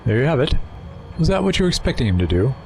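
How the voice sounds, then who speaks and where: A man's voice: mid-range voice, very animated, quick pace, a little mellow, a little hiss or hum. Wry, mocking male alien schemer. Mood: mocking, chatty. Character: sly, talkative male alien time traveller, the Doctor's rival. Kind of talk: full-cast audio drama acting.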